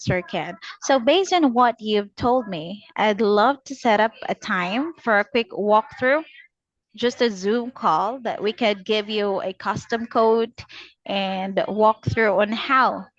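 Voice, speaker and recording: Woman telemarketer on muffled call audio. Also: mid-range voice. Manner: polite, addresses the listener formally. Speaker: telemarketing agent in a mock sales call. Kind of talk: scripted cold-call sales pitch, conversational.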